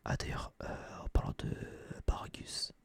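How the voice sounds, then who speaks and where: A young man whispering a casual, slangy, rambling review in breathy ASMR style, close to a binaural microphone. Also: a little slow. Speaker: ASMR video creator.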